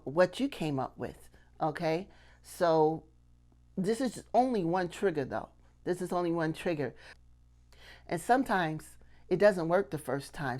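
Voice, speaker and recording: A woman's voice: on the low side, darkish tone. A middle-aged woman giving a casual lesson to camera, conversational and often checking in with little questions. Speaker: puppetry instructor and channel host. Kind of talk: casual instructional talk to camera. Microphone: clip-on lapel mic.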